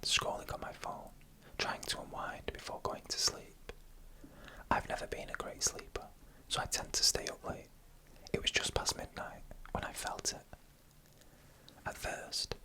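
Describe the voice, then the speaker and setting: A narrator telling scary stories in a slow, hushed, breathy ASMR whisper, close to the microphone. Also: low-quality recording. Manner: slow, hushed, deliberate storytelling. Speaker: host and narrator.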